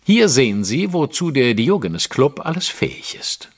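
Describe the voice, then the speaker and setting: A man acting a brilliant, self-assured gentleman detective in an audio drama, speaking with precise, theatrical, dry irony. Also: mid-range voice, sing-song, moderate pace. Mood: ironic, pointed.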